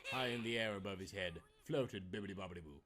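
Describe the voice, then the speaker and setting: A man's voice: fairly low pitch, quick pace, very noisy. A man reading a scripted tale as a theatrical, dramatic storyteller. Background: music.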